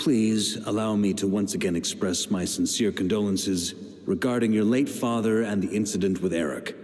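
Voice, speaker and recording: A man's voice: on the low side, darkish tone, close to an everyday voice. Man as a grave, formal envoy. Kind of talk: video game voice acting. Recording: long hall reverb.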